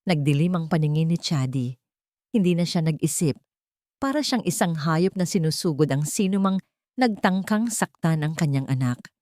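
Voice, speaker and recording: A woman's voice: low-pitched, darkish tone, no room sound, clean studio sound. A woman with a Filipino accent reading scripted drama as a storyteller, in an emotive, suspenseful manner. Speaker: story narrator. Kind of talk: dramatic scripted narration of a Tagalog romance drama.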